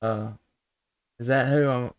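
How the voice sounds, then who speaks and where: A man talks casually as a ham radio operator hosting a stream. His voice is mid-pitched, smooth and very dry in the recording. He is folksy and unhurried in manner, pausing to think as he goes. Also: steady tone, no echo. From United States. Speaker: amateur radio operator, live stream host. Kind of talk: casual radio contact chat.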